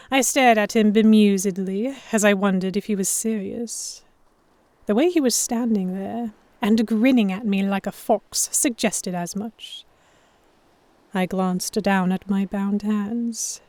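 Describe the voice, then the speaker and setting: A woman playing a stubborn, flustered young noblewoman, narrating her own story in a measured, expressive audio drama style. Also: medium pitch, no echo, close to an everyday voice.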